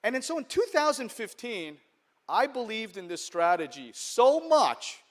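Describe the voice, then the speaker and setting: Keynote speaker: middle-aged American man, New York accent, slightly high, chatty and joking. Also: a bit bright. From United States. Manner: conversational, humorous, checks in with "okay?". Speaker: venture capital investor and keynote speaker. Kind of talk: conference keynote speech. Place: large conference hall.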